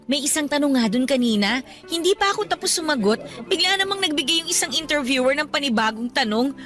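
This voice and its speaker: A modest young Filipino office worker, high in pitch. She speaks in an earnest, slightly anxious and supportive way in a radio drama, over faint music. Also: animated, fast talker, fairly bright tone. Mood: nervous, exasperated.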